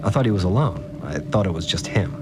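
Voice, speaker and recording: A troubled fixer, recounts things in a very low male voice. He is plain-spoken, hesitant and full of doubt, as in an audio drama confession. Music plays clearly behind him. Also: animated, quick pace. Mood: wary, haunted.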